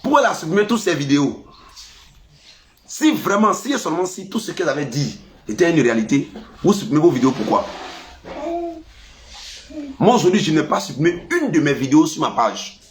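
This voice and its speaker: A man with an Ivorian French accent and a cold, talking casually to his followers in a lively, scolding way. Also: fairly quick, long pauses, slight background noise. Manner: lively, scolding, animated pitch jumps. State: has a cold. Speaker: vlogger. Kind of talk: casual monologue to camera.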